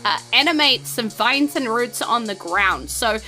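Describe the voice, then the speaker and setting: Woman, New Zealand accent, game master, slow informal narration, giggly, bright tone. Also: fairly high pitch, lively intonation, soft background music. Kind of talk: improvised tabletop game narration and banter.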